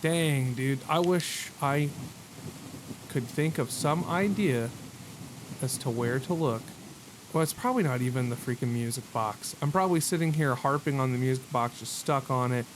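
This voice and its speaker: Man, gaming host, casual commentary, mid-pitched, self-deprecating slang, clear noise behind. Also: moderate pace. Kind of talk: casual let's-play commentary.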